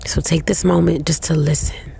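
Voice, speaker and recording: A woman leading a guided meditation in a slow, soothing way, with long pauses between short phrases. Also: deep voice, fairly even intonation, creaky, dark tone, breathy edge.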